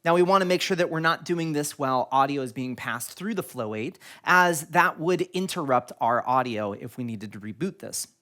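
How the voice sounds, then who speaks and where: A man's voice: medium pitch, lively intonation, normal speed, hardly any pauses, sharp tone. A man hosting an instructional product tutorial, explaining things step by step. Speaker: tutorial video host.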